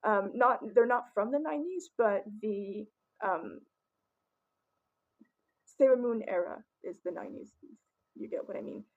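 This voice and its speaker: A young woman with a raspy voice, chatting casually and rambling with many ums as she shows off her collection. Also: on the high side, unhurried, long pauses, muffled recording. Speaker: vlogger. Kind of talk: casual collection show-and-tell.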